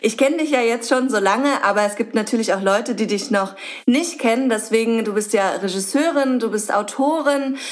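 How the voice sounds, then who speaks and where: A woman's voice: fairly high pitch, normal speed. A young woman hosting a casual interview in a guest's home, chatty and often hesitating. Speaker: host.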